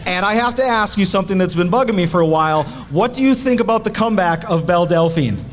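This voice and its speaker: A man's voice: high voice, lively intonation, fast, background noise. A man co-hosting a live podcast, tossing out wisecracks.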